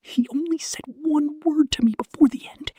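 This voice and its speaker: A woman voicing a haunted witness in a dramatic audio story, breathy and ominous. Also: high-pitched, normal speed, dark tone, no echo, natural voice. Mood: fearful, hushed. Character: haunted witness recalling a dying man's word.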